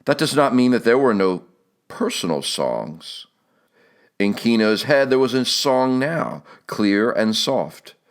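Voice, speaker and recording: A man reading literary fiction as an audiobook narrator, in a measured, expressive way with phrases that soften at their ends. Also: on the low side.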